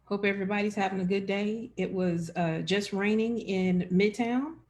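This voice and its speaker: A woman's voice: medium pitch, fairly even intonation, normal speed, darkish tone. Chatty woman hosting a live info session. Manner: friendly, chatty, many fillers. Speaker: enrollment director hosting.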